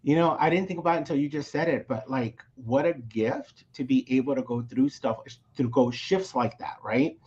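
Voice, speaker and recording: A man's voice: medium pitch, moderate pace, hardly any pauses, dull audio. A man from Mexico who was raised in Texas, a coach talking animatedly in a casual interview and often checking in with "right?". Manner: animated, keeps adding tag questions. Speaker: coach, podcast guest.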